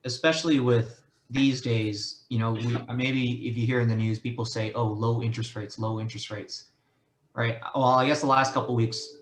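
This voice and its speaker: Male co-presenter, mid-pitched, casual explainer, recorded in a roomy, echoey space. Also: level tone, moderate pace. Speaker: workshop co-presenter.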